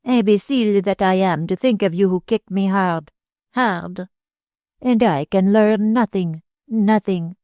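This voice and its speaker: A woman's voice: medium pitch, unhurried, mellow, no room sound, studio quality, pitched above the performer's own voice, close to an everyday voice. A woman voicing a fiery, jealous Spanish dancer who speaks broken English with a Spanish accent and a tempestuous, dramatic manner. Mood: passionate.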